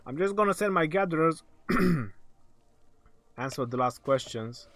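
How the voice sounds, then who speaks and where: A man hosting a live game stream, reading viewers' questions aloud and answering them casually, his voice going hoarse. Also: a little high, animated, slow, fairly bright tone. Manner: reads viewer questions aloud then answers, laughs often. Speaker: game livestream host. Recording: faint background music.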